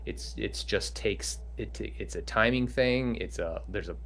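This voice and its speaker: Deep-voiced man giving earnest advice. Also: measured pace, a bit bright. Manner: earnest, encouraging, rambling. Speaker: film director and animator. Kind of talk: panel Q&A answers.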